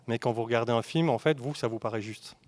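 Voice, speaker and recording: A man's voice: mid-range voice, fast talker. A man from Belgium, speaking as a cinematographer and masterclass guest, explaining his work to an audience in an informal, conversational way, often rephrasing. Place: arts venue, live masterclass.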